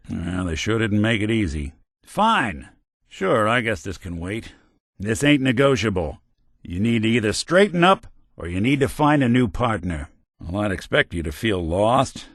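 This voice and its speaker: Game companion: a world-weary male synth detective, dry and wry, low and expressive, close dry recording. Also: no room sound, heavily acted. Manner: dry, world-weary noir drawl, gruff when angry. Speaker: companion character. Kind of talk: game voice lines.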